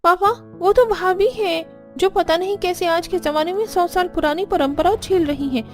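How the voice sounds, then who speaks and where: A woman's voice: very high-pitched, normal speed. A woman playing a spoiled, complaining young married daughter in a cartoon. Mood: indignant. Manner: complaining, indignant. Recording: background music.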